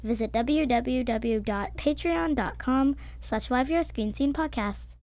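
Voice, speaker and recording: A woman's voice: fairly high pitch, a little slow, a little mellow, soft background music. A woman announcer reading a scripted promotional sponsor message.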